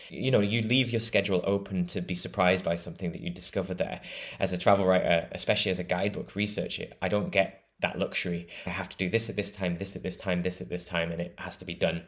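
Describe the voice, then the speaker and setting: British guy with a really low voice, chatty and keen, explains stuff at length. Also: fairly even intonation, quick pace. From Britain. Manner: enthusiastic, laughs easily, explains at length. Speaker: guest travel writer. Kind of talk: conversational interview.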